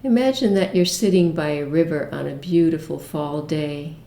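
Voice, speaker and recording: A woman leading a guided meditation with imagery, speaking in a gentle, soothing manner with long pauses. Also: low-pitched, creaky, roomy sound.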